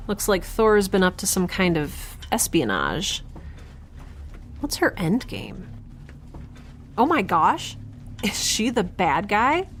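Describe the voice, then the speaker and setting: Nerdy chatty girl scientist, rambles to herself, quirky, audio drama w some noise behind. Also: medium pitch. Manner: rambling, halting, talking to herself. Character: chatty nerdy young woman scientist, quirky, stubborn, caring. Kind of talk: audio drama monologue and dialogue.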